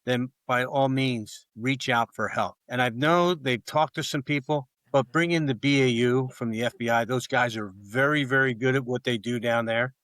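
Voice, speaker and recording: A man's voice: medium pitch, normal speed, few pauses, a bit bright. An earnest podcast host whose voice sits in a middle range. He speaks steadily and directly. The sound is close and dry, with no room echo. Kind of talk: casual podcast talk.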